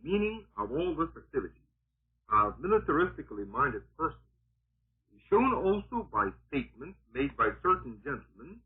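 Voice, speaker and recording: A man's voice: fairly high pitch, very animated, moderate pace, slightly rough. A man reading scripted 1940s radio political commentary in an emphatic, oratorical and sardonic manner. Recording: muffled, narrow-band vintage 1940s radio broadcast.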